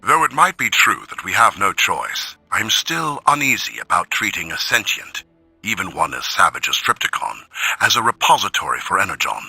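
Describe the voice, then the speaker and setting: A worried, articulate robot soldier for a video game. The voice is husky and sharp, moving widely in pitch with wry emphasis. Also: male voice, very animated, moderate pace, no room sound, faint music behind, heavily acted, rough recording. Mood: uneasy, reflective. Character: uneasy, articulate male robot soldier, dryly sarcastic. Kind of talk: dramatic video game audio log.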